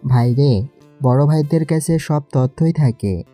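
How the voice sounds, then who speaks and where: A very dark, mellow male voice of an actor plays a protective elder brother in an audio drama. He is stern and questioning, with soft music behind him. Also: medium pitch, a little slow, no room sound, natural voice. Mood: firm. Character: suspicious, protective elder brother, grown man. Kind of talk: Bengali audio story acting.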